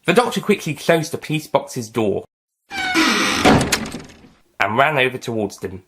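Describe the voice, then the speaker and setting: A man's voice: medium pitch, sing-song, measured pace, very noisy. A man reading a scripted science-fiction adventure story as its author and narrator.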